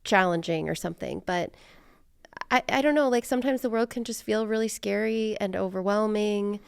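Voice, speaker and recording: Clear-voiced woman interviewer with creaky edges. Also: mid-range voice, normal speed, no room sound, studio quality. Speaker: podcast host, interviewer. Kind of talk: casual reflective interview conversation.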